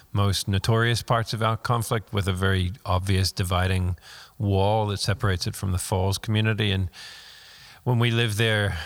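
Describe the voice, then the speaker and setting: A man interviewing guests, asking gentle, wandering questions full of fillers. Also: on the low side.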